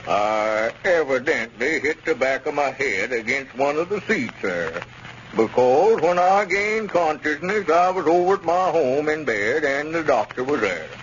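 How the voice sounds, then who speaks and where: An actor playing a wheedling, self-pitying small-town squire testifying as an injured plaintiff in a 1930s radio comedy. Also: male voice, on the high side, expressive, unhurried, a bit bright. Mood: aggrieved, wheedling. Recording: muffled, noisy 1930s radio recording.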